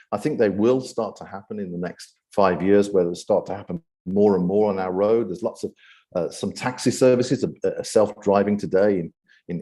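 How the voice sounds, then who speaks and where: Low, mellow British man presenting. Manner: hesitant, often repeating words before going on. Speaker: event host presenting his AI ethics program. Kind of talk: unscripted presentation talk.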